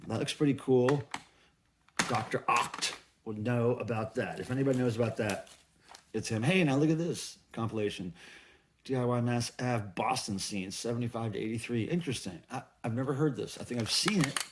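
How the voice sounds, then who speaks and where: A man's voice: medium pitch, moderate pace, heavy background noise. A middle-aged man chatting casually as a vlog host, musing aloud in a rambling way with folksy, playful slang. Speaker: video channel host. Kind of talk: casual haul vlog chat.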